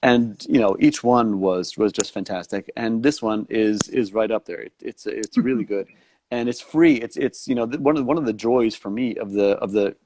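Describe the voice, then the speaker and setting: A man's voice: lowish voice, moderate pace, hardly any pauses, a little mellow, a little hiss or hum. Rambling man hosting a video call chat. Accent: New York. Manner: rambling with many restarts. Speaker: host. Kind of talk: live online interview.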